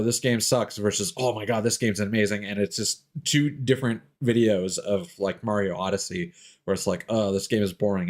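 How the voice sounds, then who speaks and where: A man livestreaming a video game with casual, rambling, sweary commentary. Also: lowish voice.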